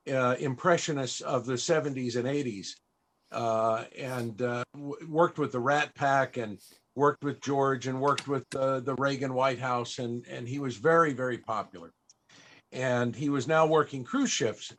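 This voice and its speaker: A man hosting a panel, telling a personal story in a halting, reflective and emotional way. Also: medium pitch, fairly bright tone. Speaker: panel host and entertainer. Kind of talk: storytelling at a live interview panel.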